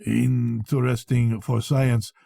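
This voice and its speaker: A man voicing an odd, shabby little doctor who mumbles slowly and speaks in a calm, matter-of-fact way. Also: mid-range voice, creaky, no echo, clean studio sound, heavily acted. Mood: calm, probing.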